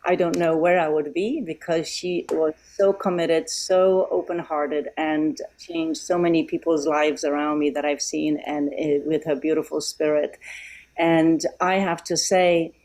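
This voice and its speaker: A middle-aged woman from Poland giving a heartfelt thank-you speech over a video call, pausing often with um and uh. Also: deep voice, steady tone, rough recording. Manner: warm and grateful, many um and uh pauses. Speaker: event guest speaker.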